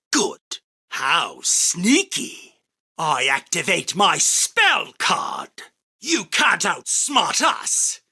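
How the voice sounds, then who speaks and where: Arrogant anime duelist king, boastful, husky and bright-edged, slow grand declamation, game battle lines. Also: high-pitched, full character voice. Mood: defiant. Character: arrogant, theatrical self-proclaimed king of card duels. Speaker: voice actor.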